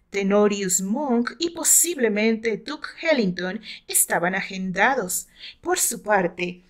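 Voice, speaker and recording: A woman with a Mexican accent reads a dramatic novel aloud in a measured, expressive storytelling manner. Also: on the high side. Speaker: author narrating her own novel. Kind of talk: dramatic audiobook narration.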